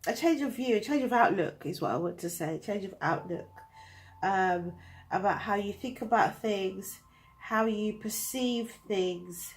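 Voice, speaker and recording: A woman's voice: medium pitch, expressive, unhurried, low-quality recording. A woman with a British accent, reading tarot cards to camera in a chatty, thinking-aloud way, her nose blocked up from a cold. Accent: British English. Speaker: tarot reader.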